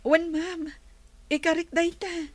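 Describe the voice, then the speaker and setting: An actress with a Filipino accent playing a meek, patient, mistreated young student in a radio drama, speaking softly and deferentially. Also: female voice, extremely high pitch, level tone, takes it slowly, no echo. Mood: grateful.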